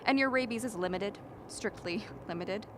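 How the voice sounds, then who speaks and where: A woman's voice: medium pitch, normal speed, close to an everyday voice. A woman playing a rational, argumentative scientist in a radio play, lecturing precisely with dry mockery. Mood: mocking. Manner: lecturing, precise, dryly mocking. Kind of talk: dramatic radio play acting. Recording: faint steady background noise.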